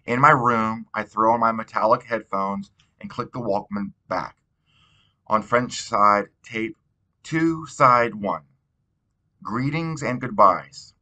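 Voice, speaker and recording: A man reading a novel aloud in an expressive storytelling style. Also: lowish voice, slow, low-quality recording. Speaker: audiobook reader.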